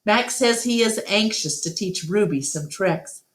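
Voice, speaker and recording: A woman reading a children's novel aloud as storyteller, in deliberate, expressive scripted narration. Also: medium pitch.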